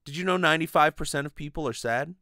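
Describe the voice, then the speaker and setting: A man doing a parody of a smug, earnest inspirational speaker, deliberate and portentous with dramatic pauses. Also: fairly high pitch, sharp tone, dry recording, clean studio sound. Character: smug, earnest male TED-style keynote speaker parody. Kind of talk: parody of polished inspirational speech.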